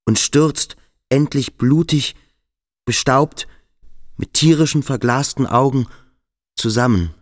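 An actor in a German radio drama playing an intense young man who speaks in halting, dramatic fragments. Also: medium pitch, no echo, close to an everyday voice. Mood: agitated, breathless narration. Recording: music behind the voice. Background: music with strings.

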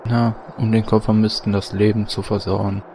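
A man acts a young goth nonconformist, dark and monotone. He is deadpan and scornful in a comic fantasy radio play, with some noise behind him. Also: lowish voice, moderate pace, lightly acted. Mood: morose, contemptuous. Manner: deadpan, morose. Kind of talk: German fantasy parody audio drama. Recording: background noise.

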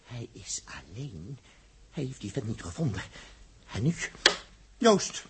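A man's voice: medium pitch, big pitch swings, slow. An actor playing an agitated, hot-headed male spaceship technician in a 1960s radio drama, stammering and emphatic. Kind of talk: 1960s Dutch radio science-fiction acting. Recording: slightly noisy vintage 1961 radio recording.

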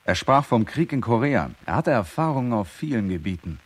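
A man's voice: medium pitch, big pitch swings, fairly quick, husky. A man playing a factual witness reporting events in a radio drama.

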